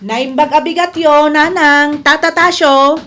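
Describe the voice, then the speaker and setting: A woman's voice: high voice, steady tone, measured pace, a bit bright, a little hiss or hum. A woman playing a friendly, chatty customer with an Ilocano accent in a radio drama. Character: friendly, chatty female customer of a food seller.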